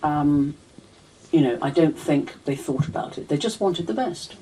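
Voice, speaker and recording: A woman's voice: low-pitched, expressive, unhurried, mellow, husky, low-quality recording. A woman lecturer answering audience questions in a hesitant, restarting way, full of "you know" and "I mean". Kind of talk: casual Q&A answers.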